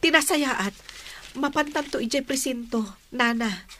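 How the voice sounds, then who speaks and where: An actress playing a concerned young daughter in an old radio drama. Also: female voice, high voice, a little slow, fairly bright tone. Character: concerned young daughter talking to her mother. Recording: slightly noisy old radio recording.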